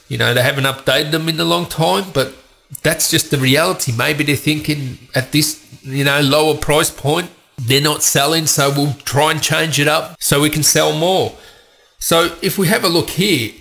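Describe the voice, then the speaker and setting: Tech host, man, stuffed up from a cold, gravelly edge, chatty and opinionated. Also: on the high side, bright, forceful tone. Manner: chatty, opinionated, rambling asides. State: has a cold, congested voice. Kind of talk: casual tech news commentary.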